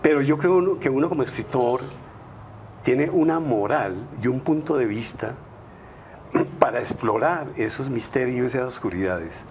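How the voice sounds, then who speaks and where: A man's voice: mid-range voice, animated, normal speed, slight background noise. A man, a novelist, speaking emphatically on a studio panel, stacking words in rhythmic series. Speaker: novelist guest.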